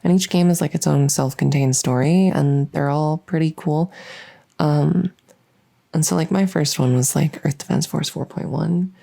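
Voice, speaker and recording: Woman playing a shy, low-voiced, rambling vampire. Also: gravelly, warm tone. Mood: geeky, rambling. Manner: hesitant, halting, rambling, full of self-corrections. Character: shy, lonely, socially awkward young female vampire wanting friends. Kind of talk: ASMR audio roleplay, rambling casual monologue.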